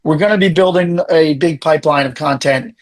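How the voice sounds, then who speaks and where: A man with a raspy voice, talking animatedly as a podcast guest, with lots of restarts and quick bursts. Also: fairly high pitch. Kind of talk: casual interview chat.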